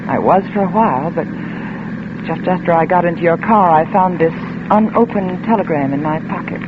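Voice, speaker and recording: A woman's voice: lowish voice, normal speed, lightly acted. Woman acting old radio thriller lady investigator, dry and suspicious, tinny noisy recording. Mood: accusing, controlled. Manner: dry, wry, clipped retorts. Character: sardonic, suspicious female insurance investigator, coolly unimpressed. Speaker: radio actor and first-person narrator. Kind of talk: 1940s-50s radio drama acting.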